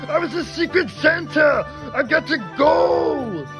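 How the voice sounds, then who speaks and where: Excitable high-pitched cartoon character. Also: male voice, a little slow. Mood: frantic. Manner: exclamatory, earnest. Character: excitable, festive, earnest cartoon character who loves Christmas. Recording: music playing behind the voice.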